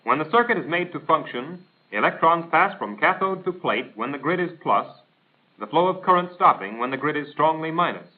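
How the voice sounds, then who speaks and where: A man's voice: fairly high pitch, expressive, a little fast. An American man reading a scripted instructional narration in a formal, precise, didactic manner, in the style of a 1940s military training film. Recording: tinny band-limited 1940s film soundtrack.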